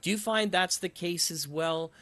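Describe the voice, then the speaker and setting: A man's voice: high voice, moderate pace, sharp tone. A man interviewing guests conversationally as a host, thinking aloud in long, rambling questions.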